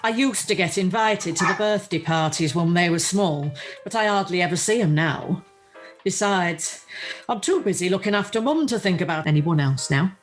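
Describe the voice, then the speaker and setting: A woman's voice: on the low side, animated, normal speed, lightly acted. A woman with a Northern English accent playing a middle-aged, blunt, weary widow who cares for her mother in a radio play. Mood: weary, grumbling. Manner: blunt, colloquial, grumbling. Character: chatty, worn-out, down-to-earth widow caring for her mother. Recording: video call recording with music.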